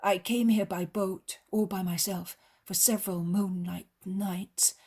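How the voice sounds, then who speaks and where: A woman's voice: mid-range voice, steady tone, unhurried, darkish tone, slightly rough. A woman voicing the apostle Andrew, a humble fisherman disciple, telling his story earnestly and reverently. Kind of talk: devotional reading.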